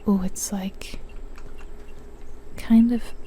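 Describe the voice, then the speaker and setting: Soft-whispering woman, slow and mellow. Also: mid-range voice, steady tone. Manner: soft unvoiced whisper, musing to herself. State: a little sniffly from a cold. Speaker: ASMR gaming streamer. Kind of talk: whispered ASMR gameplay commentary.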